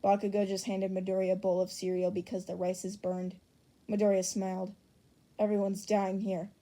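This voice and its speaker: A woman's voice: mid-range voice, little pitch movement, normal speed, warm tone. A young woman reading fan fiction aloud as narrator in an amateur audiobook style.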